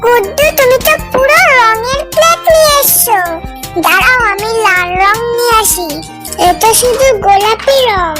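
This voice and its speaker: Very high-pitched little cartoon girl. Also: normal speed, loud music behind the voice. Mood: eager. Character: excited, playful little girl in a children's cartoon. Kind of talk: children's cartoon dubbing.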